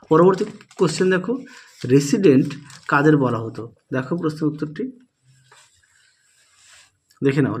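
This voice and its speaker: A man with a somewhat raised, husky voice leads an instructional walkthrough. He reads out questions, dictates the answers and goes back over key points for students. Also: on the high side, darkish tone. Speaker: teacher presenting exam solutions.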